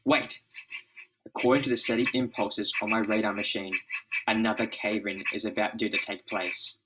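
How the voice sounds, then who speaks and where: A man's voice: lowish voice, level tone, normal speed, bright, forceful tone, poor audio. Man acting earnest, authoritative scientist leader. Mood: urgent, grave. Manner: earnest, declarative. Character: authoritative male scientist leader, urgent and serious.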